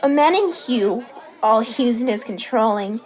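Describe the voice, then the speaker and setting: Slow, higher-voiced woman as a smitten young lover. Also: expressive, some chatter behind. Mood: admiring, smitten. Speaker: student drama performer. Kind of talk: Shakespeare sonnet as dramatic monologue.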